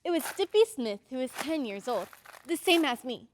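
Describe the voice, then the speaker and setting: A woman's voice: high voice, sing-song, normal speed, a little hiss or hum. A woman playing an earnest, insistent ten-year-old girl in an old-time radio drama, urgent and pleading. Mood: determined, narrating.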